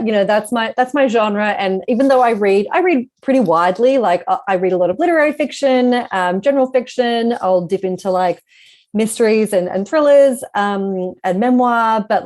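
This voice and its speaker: A woman's voice: a little high, darkish tone. A woman speaking as a guest author in a casual interview, explaining things in a reflective way.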